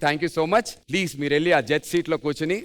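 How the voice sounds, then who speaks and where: Fast, high-pitched male TV show host. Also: fairly even intonation. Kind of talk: lively stage presenting and banter. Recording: music under the voice. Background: music.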